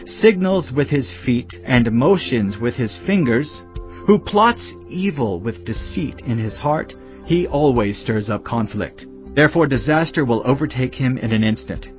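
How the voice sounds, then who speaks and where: Solemn male scripture narrator, higher-pitched voice. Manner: solemn, admonishing fatherly address. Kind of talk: dramatised scripted Bible narration. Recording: slightly muffled, music bed behind. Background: background music.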